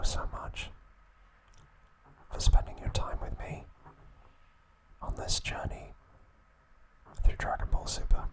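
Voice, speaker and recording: A man hosting an ASMR channel, whispering trivia softly and gently. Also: poor audio. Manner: soft unvoiced whisper, slow and gentle.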